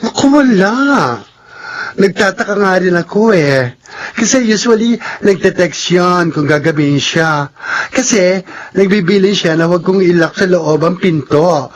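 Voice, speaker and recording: An actor with a Filipino accent playing an easygoing housemate, chatty and reassuring, in a radio drama. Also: male voice, sits high, unhurried.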